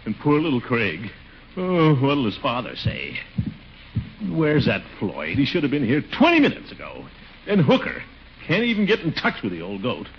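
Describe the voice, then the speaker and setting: A blustering, fretful uncle in a 1940s radio comedy. His delivery is melodramatic and pompous, leaping up and down in pitch. The old recording is muffled and hissy. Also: huge pitch swings, moderate pace. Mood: worried, melodramatic. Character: flustered, pompous, fretful uncle and town commissioner.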